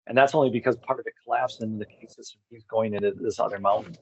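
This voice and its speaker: A man's voice: lowish voice, fairly even intonation, fast talker, vocal fry, a little hiss or hum. A man speaking as a guest on a video call, explaining things in a casual, conversational way. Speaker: podcast guest, expedition organizer. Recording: slightly dull lo-fi call audio.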